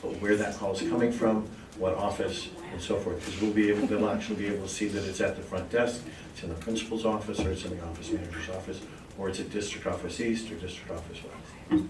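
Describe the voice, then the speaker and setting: A man with a low voice answers questions formally at a public meeting. He explains in a deferential, official way. The recording is echoey, distant and clearly noisy. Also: steady tone, fast. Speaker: school district staff member presenting a proposal. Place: indoor meeting room.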